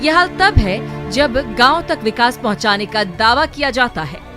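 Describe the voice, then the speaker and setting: A woman's voice: on the high side, animated, normal speed. A woman reading a scripted television news voice-over. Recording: loud music bed under voice. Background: music.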